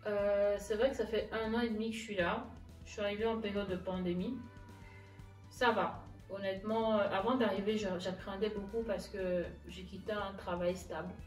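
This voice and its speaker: A woman's voice: mid-range voice, fairly even intonation, normal speed. A woman interview guest casually telling her own story, often acting out past conversations in reported speech. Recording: echoey room, distant mic, music underneath.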